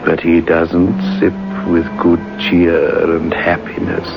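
A sardonic horror storyteller with a deep, gravelly voice. He draws out his macabre narration like classic radio theatre. The old recording is muffled. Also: monotone, over music, full character voice. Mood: grim. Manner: slow, drawn-out, macabre delivery. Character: sinister, sardonic male horror host storyteller.

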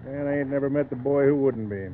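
An actor playing an old, plainspoken, protective wagon driver with folksy rustic speech in an old radio drama. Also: male voice, medium pitch, fast talker, close to an everyday voice. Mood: kindly advising. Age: older. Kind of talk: 1940s radio acting. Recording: noisy, tinny old radio broadcast.

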